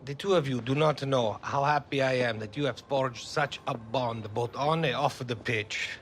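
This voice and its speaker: An actor playing a passionate, burdened football manager with an Italian accent in a video game cutscene. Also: male voice, medium pitch, normal speed, fairly bright tone, slight background noise, lightly acted. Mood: pleased. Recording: music behind the voice.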